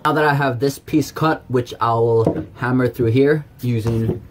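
A man with an average-pitched voice presents a casual how-to. He explains each step plainly and informally while he works. It is captured on a handheld camera, with noticeable ambient noise around him. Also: steady tone, measured pace. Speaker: presenter of DIY how-to videos. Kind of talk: casual hands-on tutorial narration. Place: around a backyard tub and a workshop.

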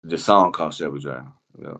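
A man being interviewed as a music producer, talking casually and reflectively in a halting, slangy way, often repeating phrases. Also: rumbling low, pushed and bright.

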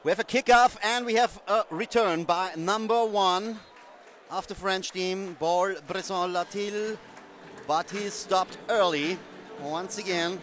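A male commentator speaking English with a German accent, not his native language, calling a live football game and getting excited on the scores. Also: high voice, slow pace, slight background noise. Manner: excited, rising to shouts on scores. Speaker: sports commentator.

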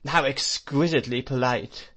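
A man reading an audiobook, voicing a haughty, sarcastic spinster who speaks slowly and sardonically. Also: medium pitch, fairly even intonation, a bit bright, pitched above the performer's own voice, lightly acted. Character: icy, sarcastic middle-aged spinster.

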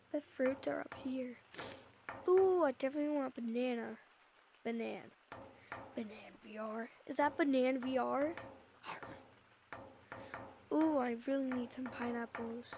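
High-voiced playful woman, noisy headset. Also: measured pace, vocal fry, pauses often. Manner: chatty, sighing, playful. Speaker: VR game player. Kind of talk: playful roleplay commentary. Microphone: VR headset mic.

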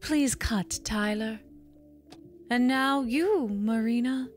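A woman's voice: fairly high pitch, takes it slowly, soft background music. A woman playing a poised, uncanny tarot reader in a horror audio drama, speaking in a formal, theatrical way. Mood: knowing, poised. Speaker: actor.

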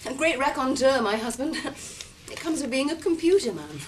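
A woman acting in a radio drama as a genteel wife, soothing and gently mocking in an arch manner. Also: high-pitched, very animated, normal speed, recorded from a distance.

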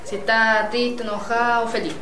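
A woman's voice: on the high side, level tone, moderate pace, fairly bright tone. Young woman explaining her drawings. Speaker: workshop student. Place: large echoey dome indoors. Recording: echoey room, noisy.

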